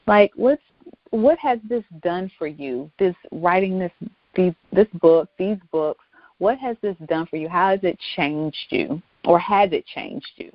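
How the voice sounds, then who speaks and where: A woman's voice: lowish voice, measured pace, no room sound. A woman hosting a talk show, chatting casually and informally in an encouraging way, often repeating her phrases. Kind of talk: casual interview chat. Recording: dull lo-fi sound.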